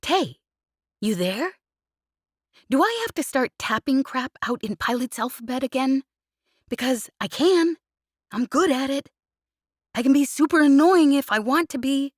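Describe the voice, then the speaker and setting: A woman's voice: high-pitched, animated, unhurried, pauses often, no echo, clean studio sound, voice pushed up. A woman narrator voicing a brash young woman pilot who chatters cheekily and won't stop talking, in an audiobook reading. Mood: teasing.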